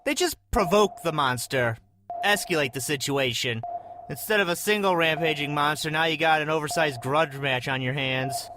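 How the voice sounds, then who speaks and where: A man's voice: fairly high pitch, moderate pace, close to an everyday voice. Dry, skeptical male soldier, noisy recording an actor. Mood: dismissive. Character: skeptical, jaded soldier on monster watch.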